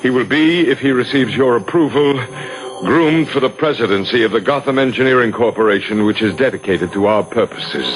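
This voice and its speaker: Actor as a polished club leader, music behind. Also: male voice, fairly low pitch, close to an everyday voice, rough recording. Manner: reassuring, polished, formal when addressing superiors. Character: smooth, assured male club leader serving a secret cause. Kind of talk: 1970s radio drama acting.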